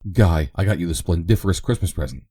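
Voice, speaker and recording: A man voicing an excitable, bubbly young woman who gushes in breathless exclamations. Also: low voice, quick pace, husky, dry recording, studio quality. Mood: gushing.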